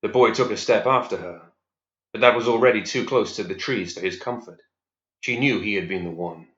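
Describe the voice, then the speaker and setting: A man reading fantasy fiction as scripted narration in a measured, serious storytelling manner. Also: on the low side, a bit bright. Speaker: story reader. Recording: roomy, slightly dull recording.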